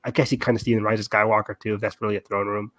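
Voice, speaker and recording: A man's voice: fairly low pitch, level tone, racing, fairly bright tone. A man co-hosting a fan podcast, talking in a casual, conversational way. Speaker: podcast co-host.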